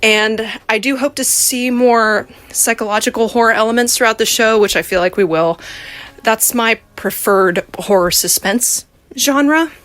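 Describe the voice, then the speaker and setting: A woman's voice: fairly high pitch, a little slow, fairly bright tone, dry recording. A woman giving earnest, appreciative spoken commentary as a podcast listener sending in feedback.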